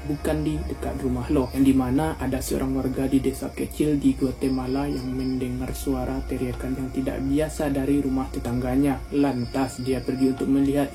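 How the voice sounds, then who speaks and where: A young Indonesian man from Indonesia narrating a true crime story in casual slang, talking straight to his viewers. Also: mid-range voice. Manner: slangy, addresses viewers directly.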